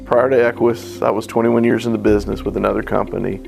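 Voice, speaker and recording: A veteran insurance agent telling his story in a flat, matter-of-fact way. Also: fairly low pitch, fast, vocal fry. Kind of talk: testimonial. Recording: background music.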